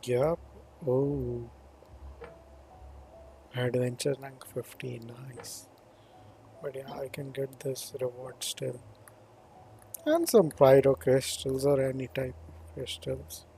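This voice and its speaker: Male gaming streamer, unhurried and offhand, mid-pitched voice, thinking aloud over faint noise. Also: steady tone, creaky, dark tone. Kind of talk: casual gameplay commentary.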